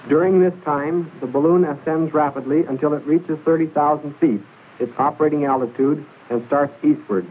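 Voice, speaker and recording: Guy doing 40s army instructional film voiceover, measured and exact, slightly high voice, faint hiss on old recording. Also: phone-quality sound. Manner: measured, precise, formal explaining. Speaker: training film narrator. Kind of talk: 1940s military training film narration, scripted and instructional. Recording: hissy band-limited 1940s film soundtrack.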